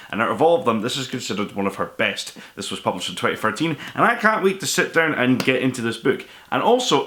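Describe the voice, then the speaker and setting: A man's voice: medium pitch, pushed and bright. A man hosting a casual vlog, talking to viewers in an enthusiastic, rambling way. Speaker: host of a book haul vlog.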